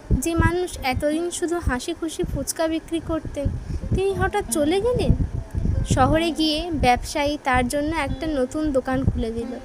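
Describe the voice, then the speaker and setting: A woman's voice: sits high, poor audio. A woman reading scripted narration for a children's moral cartoon story.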